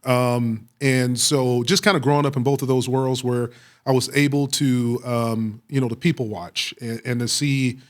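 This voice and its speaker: A middle-aged American man from Texas answering interview questions with personal stories, speaking reflectively with frequent pauses and repeated phrases. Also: mid-range voice, fairly even intonation. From Waco, Texas, United States. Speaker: police chief, interview guest.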